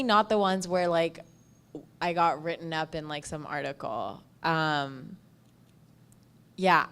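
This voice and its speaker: Low-pitched woman, casual and chatty. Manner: informal, frequent "like" as filler. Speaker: podcast host and panelist. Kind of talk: casual panel anecdote.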